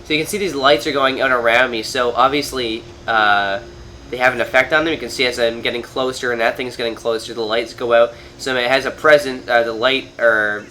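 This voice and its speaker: A man's voice: medium pitch, fairly even intonation, a little fast, pushed and bright, slight background noise. Nasal man with a cold, rambling. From Canada. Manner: rambling, lots of fillers and restarts. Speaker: gaming video host. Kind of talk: casual gameplay commentary. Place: at home, playing on a TV.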